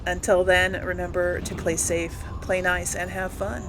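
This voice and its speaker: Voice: medium pitch, little pitch movement, a little slow, background noise. A gaming video host giving casual, chatty Let's Play commentary and reacting aloud to the game.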